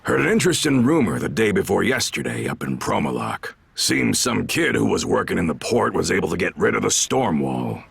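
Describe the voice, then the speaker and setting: An actor playing a gruff, sly, commanding brigand leader in a video game, with a husky, gravelly voice and a folksy, teasing drawl. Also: male voice, deep voice, sing-song, fairly quick, hardly any pauses, fairly bright tone, exaggerated voice. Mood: probing, sly. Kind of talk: video game cutscene acting.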